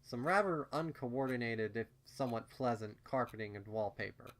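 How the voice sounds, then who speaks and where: A man's voice: mid-range voice, moderate pace. A man reading fan fiction aloud as scripted story narration.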